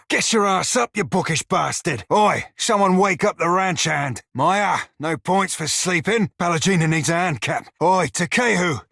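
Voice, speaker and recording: A man voicing a cheeky, foul-mouthed small furry pirate with a husky rasp and a salty pirate dialect, for a video game. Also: high voice, bright, forceful tone, dry recording, studio quality, exaggerated voice. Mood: battle cries, urgent. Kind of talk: video game character voice lines.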